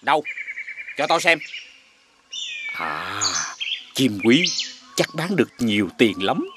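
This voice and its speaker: Swooping, grumbling cartoon peasant uncle. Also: male voice, medium pitch, big pitch swings, slow pace, background noise. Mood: eager, impatient. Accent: southern Vietnamese. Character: gullible, grumbling middle-aged peasant uncle. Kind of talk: animated fairy-tale dubbing. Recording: loud music behind the voice.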